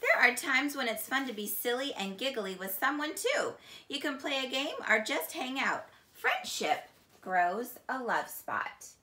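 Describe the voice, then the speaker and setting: A middle-aged woman reading a children's picture book aloud in a deliberate, expressive teacher's manner. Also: sits high, slight background noise. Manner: slow, deliberate, expressive storytelling. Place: small indoor room, seated on couch. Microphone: camera a few feet away. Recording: echoey room.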